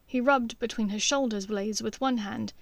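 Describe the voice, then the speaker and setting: Woman, higher-pitched voice, audiobook narrator, measured expressive storytelling, scripted fiction, dry close sound. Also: gravelly, no echo.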